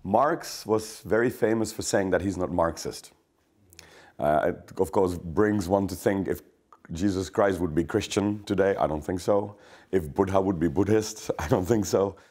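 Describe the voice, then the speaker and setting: A man talks through an idea in an interview, pausing on fillers and correcting himself as he goes. His voice sits low and steady. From former Czechoslovakia. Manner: thoughtful, hesitant with fillers and restarts. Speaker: interviewed economist. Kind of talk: explanatory interview answer.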